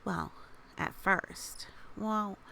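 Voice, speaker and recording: A woman reading a picture book aloud for young children, with gentle, expressive, chatty asides and questions. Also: mid-range voice, very slow, vocal fry, slight background noise.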